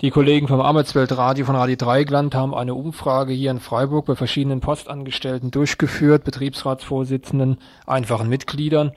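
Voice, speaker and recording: A man's voice: medium pitch, flat delivery, a little fast, few pauses, darkish tone, dry recording. A man presenting a radio news programme, previewing reports in a semi-scripted style.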